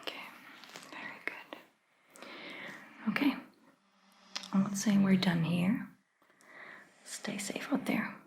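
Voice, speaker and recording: A woman performing a soft-spoken ASMR roleplay as a caring vampire doctor, whispering breathily in a gentle, reassuring manner. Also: medium pitch, lively intonation, creaky. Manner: gentle, reassuring, slow and deliberate.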